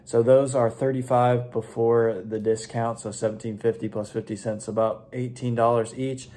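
A man's voice: on the low side, warm tone. A young man chatting informally and in slangy terms, like a vlogger, recorded on a camera at home. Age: young adult. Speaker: online reseller and vlogger. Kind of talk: casual vlog chat. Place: indoors at home, small room. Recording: clearly noisy home recording.

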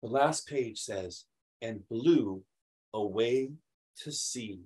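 A middle-aged American man reads a picture book aloud to young children, animated and expressive, adding chatty explanations. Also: mid-range voice, slow pace. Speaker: children's read-aloud host.

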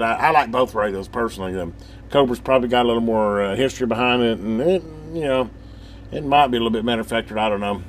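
A man's voice: on the low side, a little hiss or hum. A man giving a casual, rambling hands-on radio demonstration, thinking aloud in a folksy, informal way. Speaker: hobbyist presenter demonstrating a CB radio.

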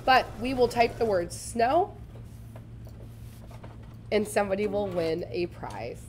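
A woman, slightly raspy from laryngitis, giving a chatty, upbeat live sewing tutorial in a studio. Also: mid-range voice, lively intonation, takes it slowly, a little mellow, a little hiss or hum. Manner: chatty, upbeat, addresses viewers directly. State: recovering from lost voice. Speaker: embroidery demonstrator. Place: studio set with shelves, indoors.

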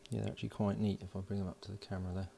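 Male maker, deep and creaky, casual unhurried tutorial delivery at a workbench. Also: little pitch movement, mellow, slight background noise. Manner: unhurried, thinking aloud while working. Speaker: host of an electronics build video. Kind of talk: casual hands-on tutorial narration. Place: indoors at a workbench.

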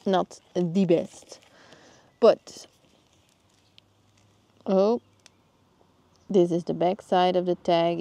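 A Dutch woman with a slightly low, mellow voice chats her way through a garden vlog. She talks slowly and stops to hunt for words as she thinks aloud. Her phone picks her up outdoors in the garden. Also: vocal fry. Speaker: gardening vlogger.

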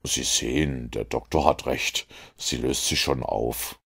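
A sure, unhurried detective , read by a man, speaks low and gravelly with a husky grain. Every sentence lands with quiet authority, as if the case is already solved. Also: fairly even intonation, heavily acted. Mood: patient. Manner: measured, confident explaining. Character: keen, self-assured male detective, calm and commanding.

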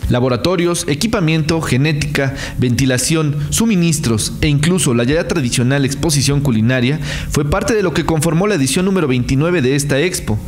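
A man reading a scripted news voice-over in a steady, informative way. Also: on the high side, fairly quick. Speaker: news narrator.

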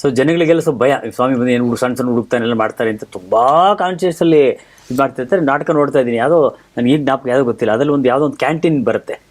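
A man hosting a casual chat, telling anecdotes in an animated way and acting out the quoted speech. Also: mid-range voice, rapid-fire. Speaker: talk show host.

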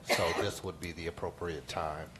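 A man's voice: low-pitched, steady tone, moderate pace, heavy background noise. A man, a city council member, making casual remarks at a meeting with some ums. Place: council chamber.

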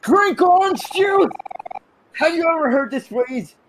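A man voicing a weary, pleading orange juice salesman in a video game, with an exaggerated sales pitch. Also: expressive, unhurried, noisy background, heavily acted. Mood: earnest pitching. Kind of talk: dramatized video game dialogue reading.

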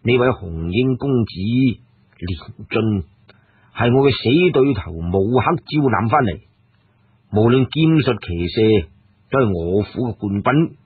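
A man voicing a low, husky scheming old retainer. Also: lively intonation, a little slow, no room sound. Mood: troubled. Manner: low, confidential. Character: worried, scheming older male retainer confiding quietly. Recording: muffled phone-like radio sound.

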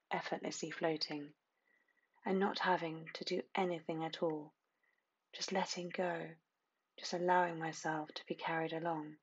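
A woman narrating a scripted guided meditation in a soothing, hushed, hypnotic manner, lingering on repeated phrases with long pauses. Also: low voice, husky, muffled recording.

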